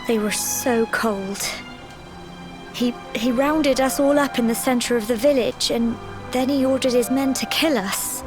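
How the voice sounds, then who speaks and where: A woman voicing a gentle, tender-hearted young village woman in a video game, earnest and hesitant in her speech. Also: fairly high pitch, a little slow, natural voice. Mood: sombre recollection. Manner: earnest, breathy hesitations, emotional. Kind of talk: video game cutscene acting. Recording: orchestral game music behind. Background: game music.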